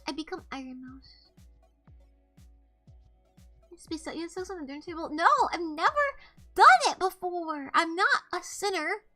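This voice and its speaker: A young woman's high, bright voice with a light nasal tone, chatting loosely to her stream. She rambles from thought to thought, peppering everything with "like" and "bro" and casual swearing, and faint music plays behind her. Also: normal speed, long pauses. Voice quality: slightly nasal. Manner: rambling, profane, lots of "like" and "bro". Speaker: streamer host. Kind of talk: casual live-stream chat.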